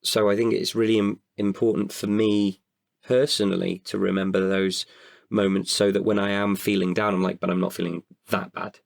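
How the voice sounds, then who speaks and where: A man with a very deep voice and a creaky edge speaks as an interview guest. He is open and introspective, picking his words hesitantly. The recording is close and dry. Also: little pitch movement, normal speed, no echo. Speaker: podcast guest, writer and performer. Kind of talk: reflective casual interview talk.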